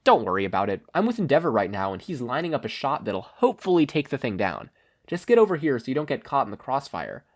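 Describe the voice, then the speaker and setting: Female hero mentor read by a man, brisk, commanding, dry humour, varied intonation, storybook. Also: medium pitch, very animated, pitched above the performer's own voice.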